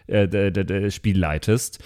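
A man's voice: low-pitched, steady tone, unhurried, mellow, no room sound. A man chatting casually as a podcast regular, asking the others questions. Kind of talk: casual chat.

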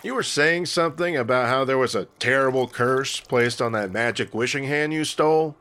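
An actor playing a chatty, eager-to-please bartender in a comedy-horror radio play, friendly and a little intrusive. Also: male voice, mid-range voice, normal speed, a bit bright, natural voice. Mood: apologetic. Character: chatty, eager-to-please bartender who owns a spooky bar.

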